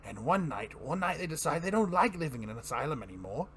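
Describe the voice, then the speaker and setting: A man's voice: on the high side, very animated, a bit bright, a little hiss or hum, voice pushed up. A man performing a manic, mocking clown supervillain in a theatrical comic-book dramatic reading, prone to giggles. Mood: amused, manic. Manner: theatrical, drawn-out, swooping, breaking into giggles.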